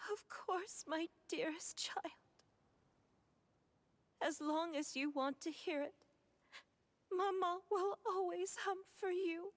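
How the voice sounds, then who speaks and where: A woman playing a tender, sorrowful mother in a video game, with a soft, breathy voice. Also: very high voice, fairly even intonation, slow, pauses often, dry recording. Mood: loving. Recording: faint music behind.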